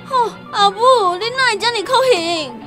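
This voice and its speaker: 高音、起伏大的台灣媳婦，氣急責備. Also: female voice, extremely high pitch, expressive, normal speed, fairly bright tone, faint music behind. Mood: outraged. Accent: Taiwanese. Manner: scolding, indignant. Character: exasperated, scolding working daughter-in-law. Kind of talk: radio drama acting in Taiwanese Hokkien.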